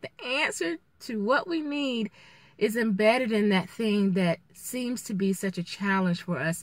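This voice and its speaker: A woman vlogger chatting casually and reflectively in an encouraging way, with halting pauses as she thinks. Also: mid-range voice, fairly bright tone, no echo. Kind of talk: casual chat, motivational vlog. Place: inside a parked car, daytime. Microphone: camera or phone at arm's length.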